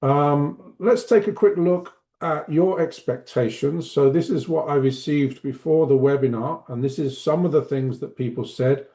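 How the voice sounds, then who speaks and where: Mellow-voiced man presenting. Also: fairly high pitch, normal speed, few pauses. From Manchester, north of England. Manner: relaxed, chatty, self-deprecating asides. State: slight cough. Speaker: teacher trainer and webinar presenter. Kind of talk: online webinar presentation. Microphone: video call.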